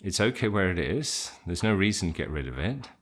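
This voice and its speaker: A man leading an unscripted guided meditation, giving simple instructions in an informal, gently hesitant way. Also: deep voice, big pitch swings, fairly quick.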